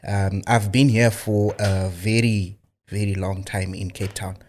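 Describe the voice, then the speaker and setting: A man's voice: fairly low pitch, flat delivery, measured pace, a little mellow, a little hiss or hum. A man from Zimbabwe, a filmmaker guest in a studio interview, talking casually with many fillers and restarts.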